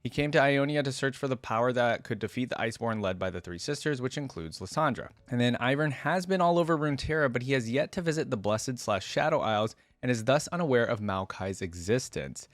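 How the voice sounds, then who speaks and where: A man's voice: mid-range voice, animated, brisk, few pauses, dry recording. A man hosting a reaction video in a casual, enthusiastic, informal way, commenting and reading aloud.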